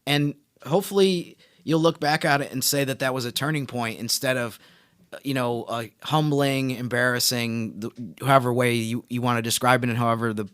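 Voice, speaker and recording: A man co-hosting a sports podcast as a reporter, giving casual analysis in a measured, halting way. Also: medium pitch, fairly even intonation, fairly bright tone, clear, resonant tone, no room sound. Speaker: team reporter and podcast co-host.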